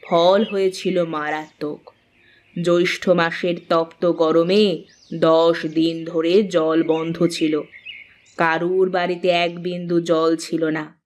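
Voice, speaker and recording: A woman playing a grave, cautioning mother-in-law in a cartoon story, speaking in a measured, solemn storytelling way. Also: lowish voice, little pitch movement. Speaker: narrator and voice actor. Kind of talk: scripted cartoon story narration.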